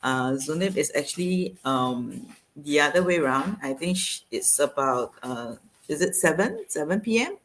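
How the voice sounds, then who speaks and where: A woman's voice: sits low, lively intonation, normal speed. A woman hosting a casual interview on a video call, speaking hesitantly with many fillers. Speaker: host.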